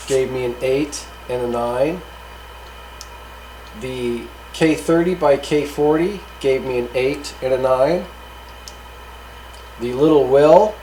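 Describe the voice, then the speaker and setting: A man with a mid-pitched voice talks through test results like a hobbyist host. He is casual and unscripted, rambling a little but matter-of-fact. There is some noticeable ambient noise in the recording. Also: darkish tone, poor audio. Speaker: hobbyist video host reviewing radio gear.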